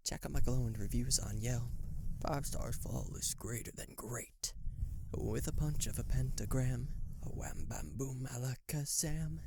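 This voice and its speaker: A man's voice: mid-range voice, unhurried, vocal fry, background noise. A man playing a cocky, easily flustered king of hell in an ASMR roleplay, sarcastic, indignant and theatrical, speaking to the listener. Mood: smooth, showy.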